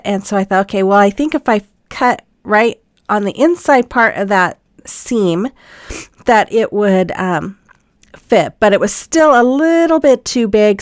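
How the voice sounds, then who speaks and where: A woman's voice: mid-range voice, clean tone, no echo, studio quality. A middle-aged woman with a stuffy cold, chatting through a DIY project step by step with frequent ums. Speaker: craft channel host. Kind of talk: narrated DIY voiceover.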